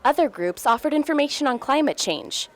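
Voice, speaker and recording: A woman's voice: high-pitched, expressive, a little fast. A young woman delivering scripted TV news in a presentational broadcast manner, as a reporter and anchor.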